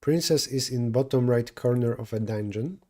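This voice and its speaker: Mellow-voiced Polish man thinking aloud. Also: medium pitch, normal speed. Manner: thinking aloud, self-correcting mid-sentence. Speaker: streamer solving coding problems. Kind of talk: live coding stream, answering chat.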